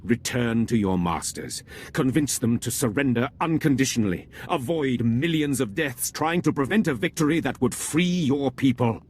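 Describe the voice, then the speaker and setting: An actor playing a proud, zealous enemy general in a video game, speaking in a measured, haughty, oratorical way. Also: male voice, medium pitch, lively intonation, darkish tone, husky. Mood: persuasive, zealous. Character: proud, zealous male Imperial general, cold villain. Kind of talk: video game villain monologue.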